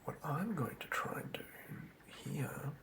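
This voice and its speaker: Someone whispering asmr, kinda creaky, thinking out loud as they go, hesitant little murmurs. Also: mid-range voice, lively intonation, unhurried. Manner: hushed, hesitant, murmuring to self. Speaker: ASMR creator designing a tabletop game monster. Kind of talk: whispered ASMR, unscripted thinking aloud while drawing.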